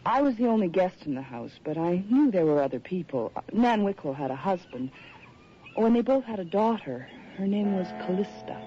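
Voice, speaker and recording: Radio drama lead: literate, uneasy woman writer, dramatic measured phrasing, muffled old broadcast sound. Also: lowish voice, very animated, warm tone, a little hiss or hum. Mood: reflective. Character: polite, uneasy woman writer on a seaside holiday. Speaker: narrator and lead character. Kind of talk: old-time radio drama acting, reflective narration.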